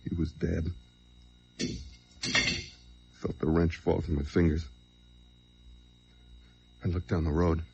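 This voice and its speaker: An actor playing a desperate, brooding fugitive killer in an old-time radio crime drama, given to overwrought outbursts. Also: male voice, low-pitched, little pitch movement, measured pace, gravelly. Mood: brooding, tense. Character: desperate fugitive man who killed a truck driver. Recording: dull, noisy old radio recording.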